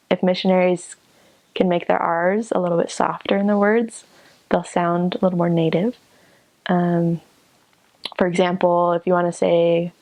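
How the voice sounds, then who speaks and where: A woman's voice: lowish voice, a little mellow, no echo, low-quality recording. An American woman giving casual advice in a tentative, hedging way. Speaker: former missionary.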